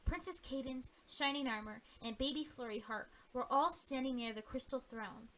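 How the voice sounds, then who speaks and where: A woman with a high-pitched voice reads story narration for a homemade audio play. She sets scenes and links the characters' lines as the storyteller. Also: normal speed, a bit bright, poor audio.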